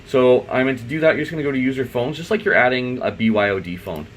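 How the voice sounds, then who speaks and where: Male tech tutorial presenter, mid-pitched, informal and unscripted, thinking aloud over a faint room hum. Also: fast talker. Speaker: IT installer giving a software tutorial. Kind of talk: unscripted step-by-step screen walkthrough.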